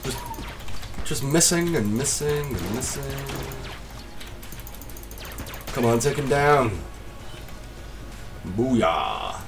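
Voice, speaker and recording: A man with a slight cold, casually commentating his gameplay as a let's play host, excitable and self-deprecating. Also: mid-range voice, very slow, pauses often, distant mic, music in the background.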